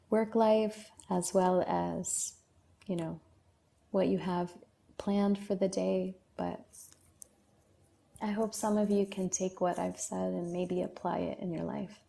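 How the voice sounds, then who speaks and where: A woman's voice: lowish voice, little pitch movement, slow, vocal fry, mellow. A woman hosting a casual livestream, reading out viewer comments and answering them one by one. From Lebanon. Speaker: livestream host.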